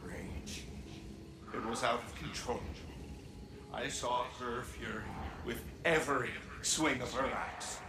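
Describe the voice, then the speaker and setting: An actor playing an earnest, lovelorn male spirit in a video game, telling things in halting, dramatic, fervent phrases. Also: mid-range voice, background noise. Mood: awed, intense. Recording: echoing voice with music behind.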